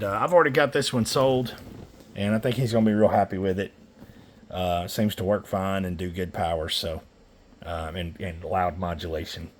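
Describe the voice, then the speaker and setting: Low-voiced man over clear radio noise. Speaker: radio seller demonstrating equipment. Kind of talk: casual product demo vlog.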